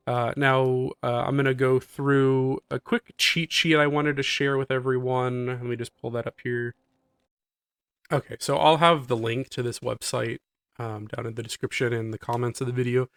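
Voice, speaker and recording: A man's voice: mid-range voice, no room sound, studio quality. Nasal man with a cold, guiding gameplay. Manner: relaxed, explanatory walkthrough. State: getting over a cold. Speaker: gaming channel host. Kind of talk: casual gameplay guide commentary.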